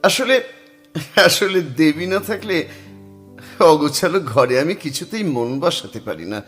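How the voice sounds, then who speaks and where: A musing middle-aged painter. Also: male voice, on the high side, animated, takes it slowly, a bit bright, faint music behind. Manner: musing, self-talking, mixes English phrases. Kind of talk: radio drama acting and first-person narration.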